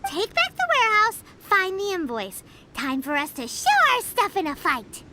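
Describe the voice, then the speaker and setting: Tiny, very high-voiced fairy sidekick; lively and blunt; game audio over music. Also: female voice, moderate pace, heavy background noise, full character voice. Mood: eager. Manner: speaks of herself in third person. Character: tiny floating female fairy companion, chatty and blunt. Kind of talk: video game voice acting.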